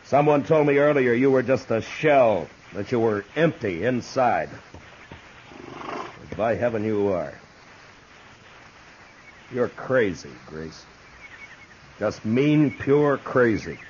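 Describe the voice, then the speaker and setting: An actor playing a stern, steady frontier marshal in a 1950s radio western, speaking tersely and with command. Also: male voice, mid-range voice, slow pace, long pauses. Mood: contemptuous. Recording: dull, noisy vintage radio recording.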